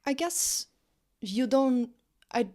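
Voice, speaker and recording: A woman in her late thirties from Serbia with a Serbian accent, a guest chatting in an interview, cheerful and quick to laugh. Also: high-pitched, animated, dark tone. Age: 30s. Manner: cheerful, laughs often, restarts words. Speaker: guest, social psychology professor. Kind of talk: casual interview chat.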